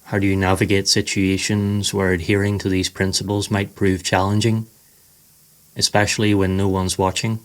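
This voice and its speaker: A man narrating a scripted guided meditation in a slow, soothing, measured way. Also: low voice, little pitch movement.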